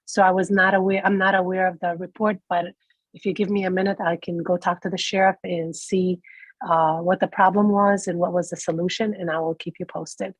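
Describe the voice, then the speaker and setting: A woman's voice: lowish voice, level tone, a little fast, husky, dry recording, rough recording. A congested woman reporting to a committee from her office, polite and apologetic. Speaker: sheriff's office representative. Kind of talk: reporting to a public meeting. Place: her office, joining remotely.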